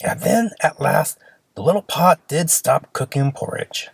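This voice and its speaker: A storyteller reading a children's fairy tale aloud in an expressive, simple storybook manner. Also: mid-range voice, unhurried, vocal fry, breathy edge, no room sound.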